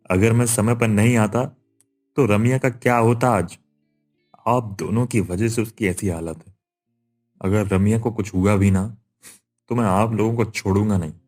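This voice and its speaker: A man's voice: fairly low pitch, darkish tone. A man playing a protective, aggrieved young husband in a Hindi cartoon story, earnest in delivery.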